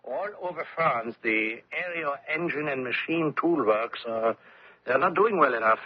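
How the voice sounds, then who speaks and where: A dry, dull old radio recording of a male German officer. He sounds uneasy and wondering, with a husky voice and hesitant, musing delivery. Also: mid-range voice, animated, a little slow, dry recording. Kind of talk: 1970s radio drama acting.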